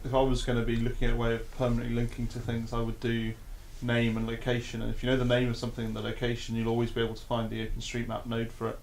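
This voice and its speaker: Flat, fast-talking man. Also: mid-range voice, a little hiss or hum, low-quality recording. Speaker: audience member. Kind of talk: conference discussion.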